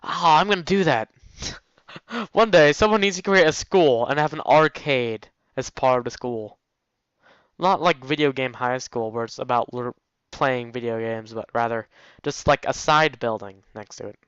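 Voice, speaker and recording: A man's voice: medium pitch, moderate pace, fairly bright tone, smooth, dry recording, dull audio. A man hosting a let's play, reading visual novel text aloud with casual, jokey asides and getting easily sidetracked. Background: faint game music.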